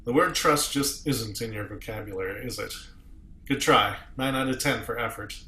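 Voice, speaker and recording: A man's voice: mid-range voice, moderate pace, pushed and bright, echoey room, a little hiss or hum, natural voice. A man playing a principled, indignant starship captain in a science fiction table read, speaking earnestly in emphatic phrases. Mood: bitter. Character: principled, indignant male starship captain defending his officer.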